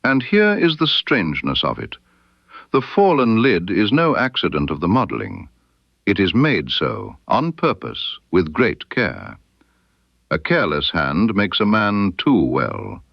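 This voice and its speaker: A man voicing a keen, composed detective in an audiobook story, with precise, deliberate and quietly probing speech. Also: low-pitched, huge pitch swings, vocal fry, no room sound, dull audio, full character voice. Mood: absorbed, analytical. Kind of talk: audiobook character dialogue.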